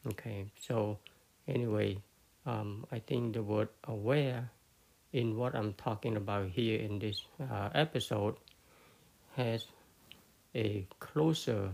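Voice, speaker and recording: A man with a Cambodian accent giving an unscripted Buddhist talk, halting often with fillers and restarts. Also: lowish voice, vocal fry, darkish tone. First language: Khmer. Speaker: Buddhist teacher.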